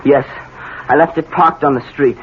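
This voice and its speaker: Male actor as young American man, guilty and scared, higher-pitched, radio play, thin phone-like vintage sound. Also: fairly even intonation, natural voice. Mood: panicked, guilty. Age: young adult. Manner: anxious, halting, confessional. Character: frightened, guilt-ridden young man, a student son. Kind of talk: radio drama acting. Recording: tinny, noisy vintage radio recording.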